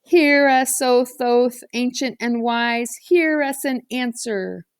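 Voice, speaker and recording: A woman's voice: a little high, slow, smooth, no room sound, studio quality, pitched above the performer's own voice. A woman voicing a confident, bossy boy with a slangy, theatrical way of talking in a storybook. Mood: solemn, commanding. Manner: casual slangy persuasion, theatrical when chanting. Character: bossy, confident boy playing a solemn high priest. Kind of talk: children's storybook character reading.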